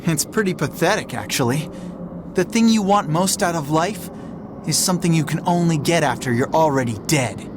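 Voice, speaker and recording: A man's voice: fairly high pitch, animated, moderate pace, noisy background, lightly acted. A man playing a plain-spoken, good-hearted young hero in a video game cutscene, casual, slangy and frank. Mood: unimpressed, frank.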